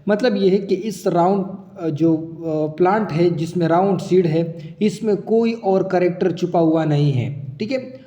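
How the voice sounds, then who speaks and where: A man's voice: sits high, measured pace, warm tone. A man teaching a biology lesson with a sore throat and a cough, explaining step by step and asking himself questions as he goes. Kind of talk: classroom lecture. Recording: echoey room with long reverb.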